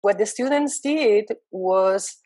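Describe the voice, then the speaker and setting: A middle-aged woman speaks in English in a reflective, unhurried way, pausing on ums and restarting phrases as she thinks. Her voice sits at a medium pitch. The recording is dry and close, with no room sound. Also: a little mellow, no echo. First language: Spanish. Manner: thoughtful, frequent ums and restarts. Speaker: university Spanish lecturer. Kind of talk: reflective interview talk.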